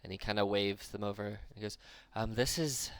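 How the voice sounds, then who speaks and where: A man's voice: fairly low pitch, steady tone, moderate pace, fairly bright tone, clear, resonant tone, no room sound. A man voicing a nervous, polite male hobgoblin worker who stammers and hesitates.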